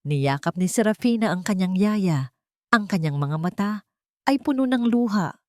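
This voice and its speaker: A woman with a Filipino accent narrating a scripted dramatic story in solemn, measured phrases. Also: medium pitch, expressive, no echo, studio quality. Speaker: audiobook narrator.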